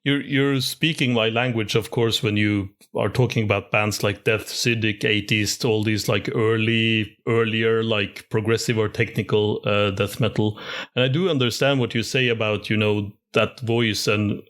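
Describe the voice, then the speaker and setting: A man's voice: on the low side, fairly even intonation, normal speed, fairly bright tone. Enthusiastic male podcast host. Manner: enthusiastic, rambling questions. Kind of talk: casual interview chat.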